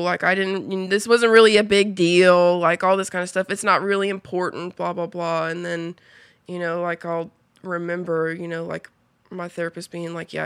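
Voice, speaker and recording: A woman's voice: lowish voice, creaky, clear, resonant tone, no echo. A woman of twenty-five, a podcast guest, telling her story in a rambling, casual way, full of fillers and the odd swear word.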